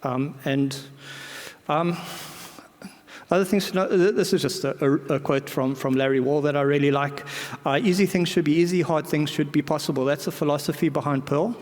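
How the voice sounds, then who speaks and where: Higher-voiced man presenting in an echoey hall. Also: moderate pace, a little mellow. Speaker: conference speaker. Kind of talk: informal conference presentation. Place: large indoor conference venue.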